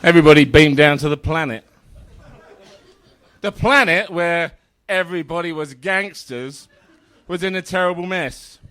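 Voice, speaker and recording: High-pitched man telling a rambling story. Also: moderate pace, long pauses, a bit bright, clear, resonant tone, some chatter behind. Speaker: comedic reader on a stage show. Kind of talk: dramatic comedic reading of fan fiction.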